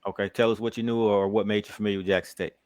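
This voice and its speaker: A man's voice: fairly low pitch, level tone, racing, darkish tone, dry recording. A man interviewing a young football player, asking friendly questions and teasing him a little. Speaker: podcast interviewer. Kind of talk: casual sports interview.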